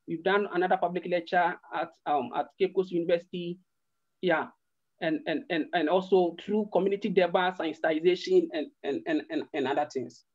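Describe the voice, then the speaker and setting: A man's voice: sits high, steady tone, a little fast. Man, 30s, Ghanaian accent, earnest, repeats words, muffled video-call sound. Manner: earnest, passionate, repeats words while searching for them. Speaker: guest, organisation founder. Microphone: laptop webcam on video call.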